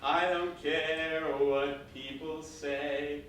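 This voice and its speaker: A man's voice: fairly high pitch, steady tone, drawn-out words, fairly bright tone. A man playing an earnest, lovestruck young nobleman in a staged musical reading, speaking in a declamatory, poetic manner. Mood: wistful, tender. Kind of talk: theatrical staged reading with a cappella singing. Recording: echoey hall, far mic, background hiss.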